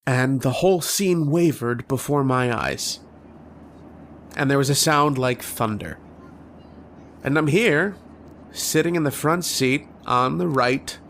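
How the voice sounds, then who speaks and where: An actor playing a haunted, anguished man telling his story in a halting, dramatic old-time radio horror style. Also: medium pitch, vocal fry, a bit bright, slight background noise. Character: haunted, anguished man recounting his nightmares and lost love.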